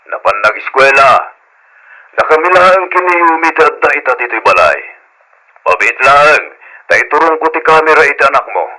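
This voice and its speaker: A man acting in an old Ilocano radio drama as a doting older father and grandfather, animated and affectionate. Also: medium pitch, low-quality recording.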